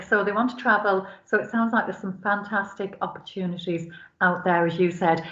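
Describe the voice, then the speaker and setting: A woman's voice: medium pitch, fairly bright tone. A woman with an Irish accent informally moderating an online seminar as its host. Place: online video call. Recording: roomy, dull lo-fi call audio.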